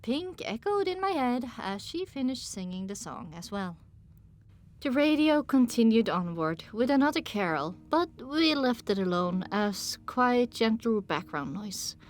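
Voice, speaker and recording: A woman's voice: fairly high pitch, sing-song, unhurried. A woman reading scripted first-person story narration as an audiobook narrator.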